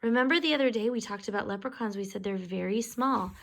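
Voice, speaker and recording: A woman reading a children's storybook aloud expressively, pausing to explain words and pictures to young listeners. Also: medium pitch, creaky.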